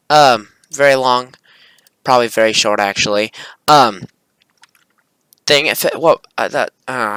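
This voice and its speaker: Young male video creator, forceful bright tone, dry close sound, casual rambling delivery with self-corrections. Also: mid-range voice, dry recording, poor audio. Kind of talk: casual screen-recording commentary, reading script aloud.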